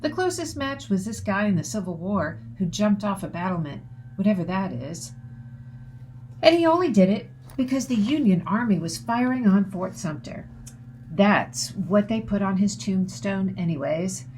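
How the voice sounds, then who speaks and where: A woman giving an expressive read-aloud of a children's novel. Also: medium pitch, normal speed, poor audio. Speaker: author reading aloud. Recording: noticeable background noise.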